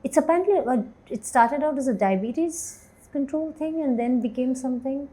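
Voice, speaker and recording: Thoughtful, mellow-voiced woman in her forties. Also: moderate pace, poor audio. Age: 40s. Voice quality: low voice, self-described as bass. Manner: thoughtful, hesitant, laughs easily. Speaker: actor and writer, interview guest. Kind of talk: candid interview conversation.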